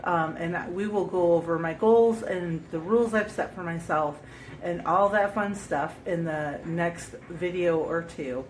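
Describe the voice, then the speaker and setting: A woman's voice: lowish voice, unhurried, slight background noise, low-quality recording. A woman vlogger with a stuffed-up head cold, chatting casually at home through a grocery haul and often correcting herself with ums. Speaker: homesteading vlogger.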